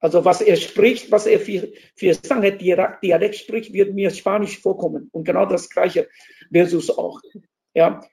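Man, gravelly mellow voice. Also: sits high, steady tone, normal speed. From Taiwan. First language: Chinese. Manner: hesitant, restarts phrases, searches for words. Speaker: interview guest. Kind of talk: explanatory conversation.

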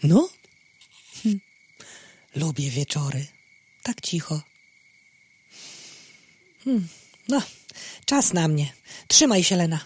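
A woman's voice: sits low, sing-song, slow pace, pauses often, husky, heavily acted. A woman playing a carefree, starry-eyed moth in an audio drama, airy and naive. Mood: dreamy, serene.

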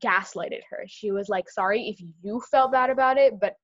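A young woman co-hosting a podcast, talking fast and animated in casual chat, with lots of "like". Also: medium pitch, highs cut off. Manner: fast, animated, heavy use of "like". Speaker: podcast co-host.

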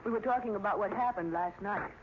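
An actress playing an inquisitive young fiancée in an old radio drama, with a brisk, questioning manner. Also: female voice, mid-range voice, close to an everyday voice. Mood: curious, concerned. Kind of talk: 1940s radio drama acting. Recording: dull, hissy old radio recording.

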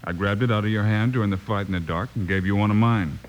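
An actor playing a calm, commanding American marshal hero in an old-time radio Western, firm and unhurried. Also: male voice, on the low side, dull audio, close to an everyday voice. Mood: confident. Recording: old radio recording with faint noise.

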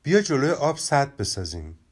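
A man's voice: medium pitch, very animated, pitched above the performer's own voice. A man voicing a boastful young rabbit in a children's storybook, talking in a cocky, offhand way.

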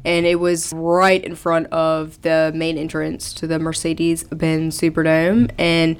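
A woman's voice: deep voice, completely flat delivery, unhurried, smooth. A woman in her thirties, a radio co-host, telling stories in a chatty, rambling way with fillers. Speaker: radio co-host, trends segment. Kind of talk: casual chat, then segment presenting.

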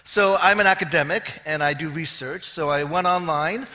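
Man in his fifties, high bright voice, wry jokes, sermon in a big hall with long reverb. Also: normal speed. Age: 50s. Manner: wry, joking asides to the audience. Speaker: preacher. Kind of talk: sermon with self-deprecating humor. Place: large auditorium with long reverb.

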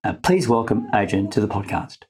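A man with a husky voice hosting a medical podcast, explaining and talking in an informed way. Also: on the low side, animated, brisk, a little mellow, faint music behind. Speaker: podcast host. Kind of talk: scripted medical narration and interview talk.

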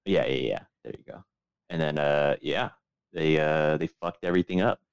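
A man's voice: a bass voice, moderate pace, vocal fry, clean tone, no echo, studio quality. A man chatting casually as a podcast host, swearing freely and giving opinions with plenty of hedging. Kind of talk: casual chat, profane.

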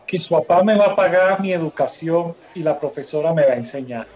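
Worried, naive young man, highish voice male actor. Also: fairly quick, darkish tone, natural voice. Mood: anxious, confessing. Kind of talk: Spanish-language radio soap acting.

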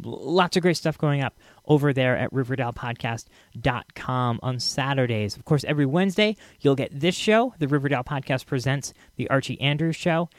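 A man hosting a podcast, introducing an old radio show in a casual, halting way, speaking straight to listeners. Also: a little high, dry recording, clean studio sound. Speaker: podcast host.

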